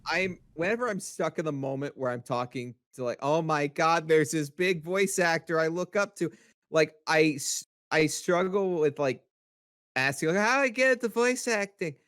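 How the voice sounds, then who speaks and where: A man chatting casually as a podcast panelist, in a hesitant, halting way. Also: fairly high pitch, a bit bright, no room sound, studio quality. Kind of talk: casual chat.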